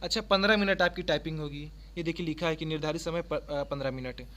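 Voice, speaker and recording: High-pitched man explaining. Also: steady tone, fast talker, a bit bright. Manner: instructional, repeats and rephrases points with check-in tags. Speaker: YouTube exam-prep presenter. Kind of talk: informal explainer of job notices. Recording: faint background noise.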